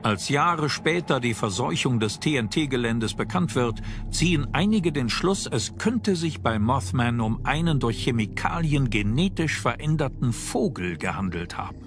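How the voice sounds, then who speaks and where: A man's voice: mid-range voice, animated, measured pace. A man reading scripted documentary narration as the narrator. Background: music.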